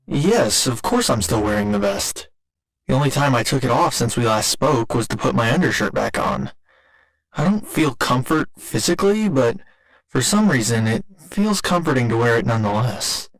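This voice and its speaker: A man playing a gentle, earnest robot boyfriend in an ASMR roleplay, speaking in formal, measured, precise sentences. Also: mid-range voice, animated, low-quality recording. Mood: fond, polite. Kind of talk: ASMR roleplay, scripted intimate monologue.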